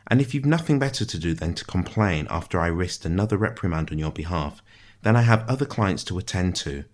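A man's voice: sits low, lively intonation, a little fast. A man voicing a good-humoured, bantering jailer with a sing-song manner in a storybook reading. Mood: exasperated.

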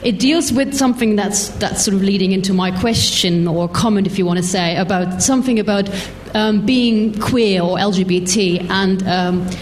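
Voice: medium pitch, normal speed, few pauses. A woman asking a question from the audience at a panel, tentative and searching for words as the question rambles. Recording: noisy live hall microphone.

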